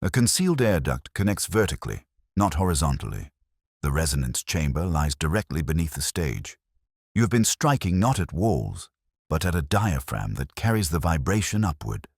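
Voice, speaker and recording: A coolly rational Victorian detective read by a male narrator speaks with great authority in an audiobook. The voice is extremely low and dry, with formal, precise phrasing and lively movement in pitch. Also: moderate pace, breathy edge, no room sound, heavily acted. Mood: calm, certain. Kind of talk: audiobook character dialogue.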